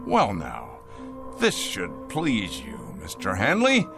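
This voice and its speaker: A man's voice: on the low side, wildly swooping pitch, slow pace, music in the background, exaggerated voice. An actor playing a cold, arrogant factory owner in an audio drama, lecturing pompously about his machines. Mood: smug, condescending. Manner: pompous, lecturing, smooth salesman's patter.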